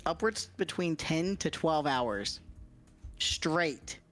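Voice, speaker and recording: A man's voice: fairly high pitch, expressive, slow, fairly bright tone, faint music behind. A man chatting casually as a livestream guest, explaining things in a hesitant, circling way with frequent hedging.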